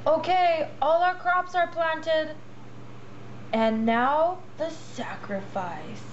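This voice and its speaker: A woman's voice: sits high, extremely slow, dull audio, a little hiss or hum. A woman voicing an earnest, dramatic vegetable character in an amateur puppet show. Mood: solemn. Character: zealous vegetable farmer and pleading young carrot.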